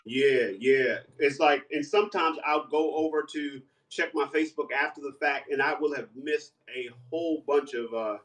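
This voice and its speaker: A man's voice: fairly high pitch, normal speed, few pauses, fairly bright tone. Chatty man in an echoey kitchen. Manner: chatty, laughs often, breaks into playful singing. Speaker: guest live streamer and singer. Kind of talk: casual live-stream chat while cooking. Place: home kitchen. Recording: echoey room, voice far from mic.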